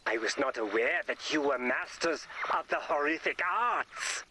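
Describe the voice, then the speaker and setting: Slow, gloomy deadpan brain creature. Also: male voice, a little high, noisy background. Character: morose brain-creature man seeking ultimate fear, chunky and emotionless. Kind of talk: video game character voice acting. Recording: loud music under the voice.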